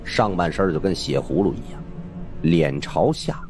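A man narrating a suspenseful audiobook story in an expressive, dramatic first-person style. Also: sits low, measured pace. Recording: faint music behind the voice.

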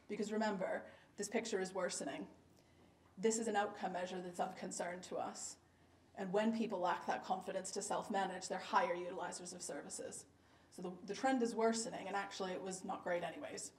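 A woman's voice: mid-range voice, darkish tone, slight background noise. Emphatic American woman giving a keynote. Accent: Boston American. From United States. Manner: emphatic, data-driven, punchy repeated "fact" refrains. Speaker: keynote speaker, health service director. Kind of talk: conference keynote talk. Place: conference lecture room. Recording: echoey room recorded from a distance.

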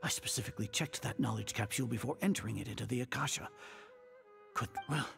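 Azar, a gravelly old sage. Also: male voice, mid-range voice, quick pace, fairly bright tone, faint music behind. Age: older. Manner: pompous, suspicious, muttering to himself. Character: elderly male grand sage, proud scheming scholar.

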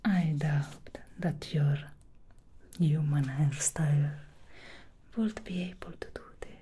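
Teasing female demon hairdresser played by a woman for ASMR, dark mellow whisper, very unhurried. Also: sits low, fairly even intonation, a little hiss or hum. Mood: seductive whisper. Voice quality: soft whispering. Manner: slow, intimate, addresses listener directly. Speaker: ASMR roleplay creator. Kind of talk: ASMR roleplay.

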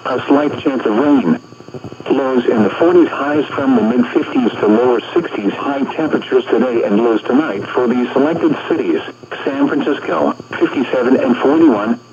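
A man's voice: mid-range voice, a little mellow. Synthetic male weather radio announcer, muffled and noisy. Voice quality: synthetic text-to-speech. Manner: measured, impersonal, segmented phrasing. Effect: weather radio broadcast. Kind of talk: scripted weather bulletin reading.

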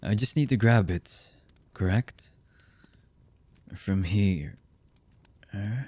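An actor playing a brooding, distrustful young anime villain in a boyfriend ASMR roleplay, with a husky, breathy voice and halting, hushed delivery. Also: male voice, on the low side, lively intonation, no echo, full character voice. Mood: guarded, softening. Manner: slow, halting, hushed delivery with long pauses.

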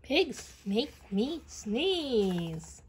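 A woman's voice: a little high, very animated, drawn-out words, slight background noise. A woman reading a children's picture book aloud. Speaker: storybook reader.

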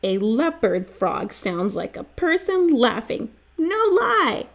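A woman's voice: fairly high pitch, big pitch swings, slow, voice pushed up, exaggerated voice. A woman reading the part of an enthusiastic, emphatic young boy in a children's storybook. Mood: eager. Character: eager young boy obsessed with frog sounds.

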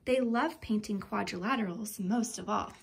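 A woman's voice: medium pitch, moderate pace, creaky, noisy background. A woman reading a children's picture book aloud like a teacher, enunciating carefully and with expression.

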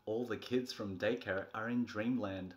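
A man in his thirties doing a comedic, theatrical storybook reading, moving between a soft bedtime tone and exasperated delivery. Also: on the low side, steady tone, unhurried. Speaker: presenter reading a picture book. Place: small furnished nursery room indoors.

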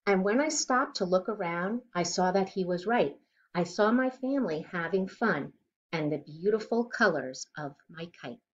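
A middle-aged woman reads a picture book aloud to children. Her voice sits a little low but rises and falls in a lively, expressive way. The sound is a slightly muffled home recording from a living room. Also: moderate pace. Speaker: children's storybook reader.

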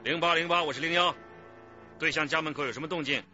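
An actor playing a shrewd, commanding police detective chief in a radio drama, giving curt, authoritative orders. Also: male voice, fairly high pitch, fast talker, sharp tone, dull audio, soft background music. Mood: urgent, commanding.

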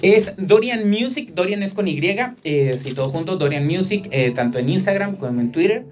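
A man's voice: sits high, animated, normal speed, darkish tone. A man, a singer chatting as a guest in a radio studio, friendly and a bit hesitant with fillers. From Monterrey, Mexico. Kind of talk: radio interview. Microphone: studio microphone on boom arm.

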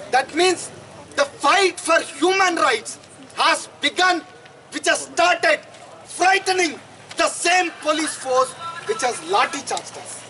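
A young Indian-accented man addresses a protest as a student activist. His voice is high and forceful, swinging widely in pitch, and he drives points home with emphatic repetition. It is picked up from a handheld microphone with slight noise behind. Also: poor audio. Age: young adult. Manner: emphatic, repeats phrases for effect. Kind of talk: impassioned protest speech. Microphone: handheld microphone through a PA.

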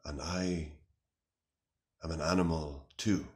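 A man in his thirties playing an anxious, earnest, melodramatic self-styled warrior in a halting, mock-serious monologue to camera. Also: extremely low pitch, fairly even intonation, a little mellow. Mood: grave, bewildered. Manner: halting, grave, deliberate delivery with long pauses. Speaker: comic video responder. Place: small room indoors.

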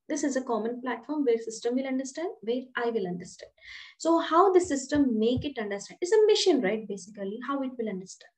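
A woman's voice: high-pitched, rough recording. A woman teaching programming in an online class, explaining step by step and asking the students questions. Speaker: programming instructor. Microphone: webcam on a video call.

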